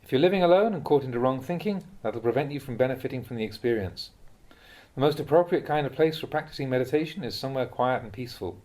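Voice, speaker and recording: A man reads translated Buddhist teachings aloud in a measured, instructive way with clear phrasing. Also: mid-range voice, lively intonation, a little mellow. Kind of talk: audiobook-style reading of spiritual talks.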